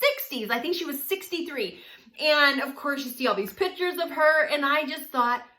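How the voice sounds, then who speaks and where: A woman of forty talking casually to camera in a chatty, animated way, laughing often. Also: high voice, normal speed. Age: 40s. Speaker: vlogger.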